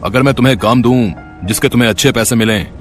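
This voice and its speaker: A man's voice: medium pitch, quick pace, faint music behind, natural voice. A man voicing a benevolent, authoritative wealthy merchant in a moral story. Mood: firm, kindly.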